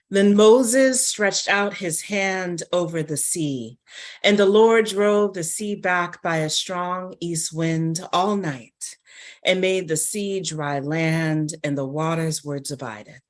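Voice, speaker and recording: A woman giving a deliberate, measured literary reading of scripted narration and poetry. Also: on the low side.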